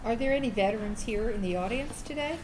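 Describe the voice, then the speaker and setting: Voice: mid-range voice, fast talker. A cast member speaking up casually at a post-show discussion. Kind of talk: casual interjections. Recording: noisy live room recording.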